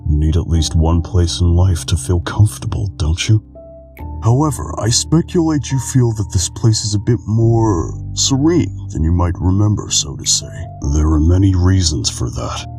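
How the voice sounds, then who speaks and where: A man voicing a weary, calm, melancholic old keeper of memories in a game fandub, speaking in a measured, solemn way. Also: low voice, soft background music. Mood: solemn, gentle, then wistful. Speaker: amateur voice actor in a game fandub. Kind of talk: dramatic scripted monologue, game dubbing.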